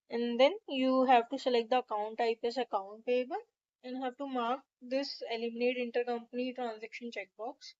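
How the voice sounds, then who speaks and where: Female tutorial presenter, higher-pitched voice, methodical step-by-step delivery, slightly muffled voice-over sound. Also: darkish tone. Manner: methodical, instructional. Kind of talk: step-by-step screen tutorial narration.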